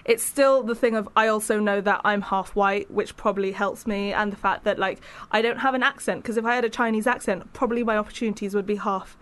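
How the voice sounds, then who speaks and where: A woman's voice: medium pitch, fairly even intonation, fairly quick, hardly any pauses, fairly bright tone. A woman who is a podcast guest, talking frankly and chattily and speaking her mind. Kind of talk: casual conversational interview.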